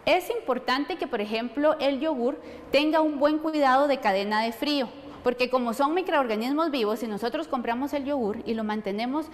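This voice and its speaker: A woman's voice: high voice, moderate pace. A middle-aged woman explaining things as a nutrition expert answering questions. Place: studio set. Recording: long hall reverb.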